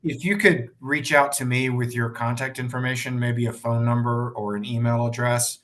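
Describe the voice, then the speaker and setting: American guy chairing a meeting, mid-range voice, measured and courteous, formal procedural talk. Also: medium pitch, fairly even intonation, fairly bright tone. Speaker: commission chair.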